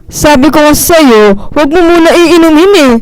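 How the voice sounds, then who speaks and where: Amateur actor as male street vendor, Filipino accent, deadpan, scolding, blunt, comedy radio drama. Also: high-pitched, moderate pace, dry recording, faint music behind, low-quality recording. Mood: exasperated.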